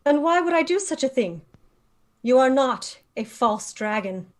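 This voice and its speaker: Casting: woman to play fantasy matriarch, higher voice, authoritative and composed, table-read drama. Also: expressive, measured pace. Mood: firm, grave. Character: commanding, composed female leader of a magical order.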